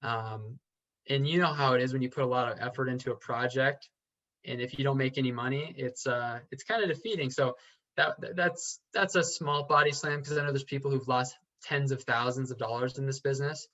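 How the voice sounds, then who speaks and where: A man's voice: mid-range voice, bright, forceful tone. Earnest man, guest on a podcast. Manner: earnest, halting, many fillers and restarts. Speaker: podcast guest, real estate investor. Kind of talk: casual interview chat. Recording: slightly dull lo-fi sound.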